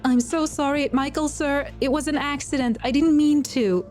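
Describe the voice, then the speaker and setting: A woman's voice: sits high, fairly even intonation, moderate pace, a little mellow, soft background music, pitched above the performer's own voice, lightly acted. A woman voicing a meek, apologetic female angel magician in an audio drama. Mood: tearful, pleading. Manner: pleading, hesitant. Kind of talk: audio drama character acting.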